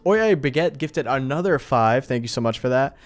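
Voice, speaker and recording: Male streamer, clean resonant voice, informal chat to viewers, soft music underneath. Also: mid-range voice, animated, normal speed. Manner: informal, slangy, appreciative of viewers. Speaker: live streamer and host. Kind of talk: casual livestream chat with viewers.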